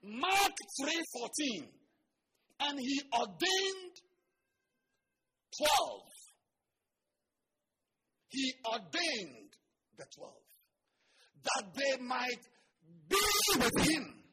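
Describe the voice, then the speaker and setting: A man with a Ghanaian accent preaching a sermon as a pastor. He swings from quiet, joking asides to loud shouts. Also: very high-pitched, sing-song, extremely slow, long pauses, very noisy.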